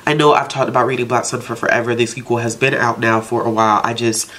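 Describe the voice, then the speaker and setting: A woman's voice: extremely low pitch, flat delivery, quick pace, fairly bright tone. Congested woman chatting about her reading list. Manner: chatty, self-chiding, talks herself into reading. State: congested from allergies. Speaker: book vlogger, channel host. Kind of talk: casual book-list vlog.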